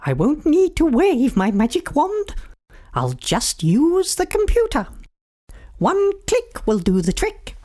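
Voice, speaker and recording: Storybook witch, shrill and fussy, big swoops in pitch, as a man puts her on. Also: huge pitch swings, takes it slowly, warm tone, full character voice. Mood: pleased. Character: excitable grown female witch. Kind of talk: storybook read-aloud.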